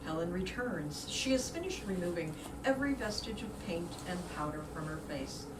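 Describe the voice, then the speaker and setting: Low-pitched woman narrator in an echoey room. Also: expressive, normal speed. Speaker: narrator reading stage directions. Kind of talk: scripted narration, reader's theater. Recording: roomy, distant mic, clearly noisy.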